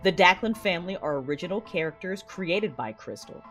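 A woman podcast host with a low voice reads scripted announcements and credits. Soft music plays behind her. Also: animated, brisk.

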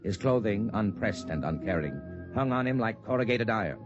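A man's voice: fairly low pitch, animated, fairly quick, close to an everyday voice. A man narrating a 1950s radio drama in a scripted storytelling style. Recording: dull old radio recording. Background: music.

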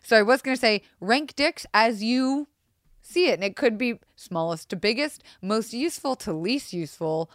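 A young woman hosting a podcast, asking rapid questions in a teasing, quick-witted way and laughing often. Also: fairly high pitch, animated, no echo, studio quality. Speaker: podcast host. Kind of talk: casual interview chat.

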